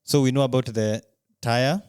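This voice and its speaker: A man's voice: mid-range voice, fairly even intonation, normal speed, darkish tone, clean tone, dry recording, studio quality. A man co-hosting a podcast, explaining things in casual sports chat. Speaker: podcast co-host.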